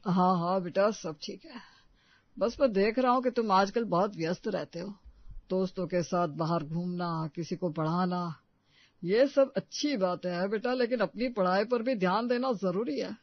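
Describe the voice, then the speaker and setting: A man's voice: sits high, moderate pace, no room sound, lo-fi sound. A man playing a worried, earnest retired father counselling his son in a story drama. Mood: gentle, concerned. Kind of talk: acted story dialogue.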